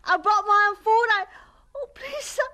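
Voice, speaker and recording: A woman plays a terrified small orphan boy in a radio drama. The voice is extremely high and sharp, pleading in stammered bursts. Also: moderate pace. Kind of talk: dramatized radio play acting.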